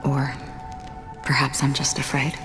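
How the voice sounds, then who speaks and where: An actress voicing a melancholy, detached female wanderer in a game, reflective, stoic and softly subdued. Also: very deep voice, monotone, measured pace. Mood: quiet grief. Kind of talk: dramatic game dialogue. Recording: long hall reverb, music behind. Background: music.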